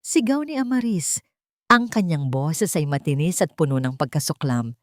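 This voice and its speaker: A woman narrator reading a scripted, sentimental story in a measured, dramatic storytelling manner. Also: low-pitched, lively intonation, dry recording, studio quality.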